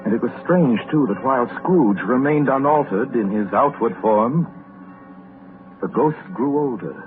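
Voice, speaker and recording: An actor narrating a 1930s radio drama as a grave, portentous storyteller with measured, theatrical phrasing. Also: male voice, mid-range voice, animated, close to an everyday voice. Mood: solemn. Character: solemn, portentous male storyteller. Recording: tinny 1930s radio recording with music.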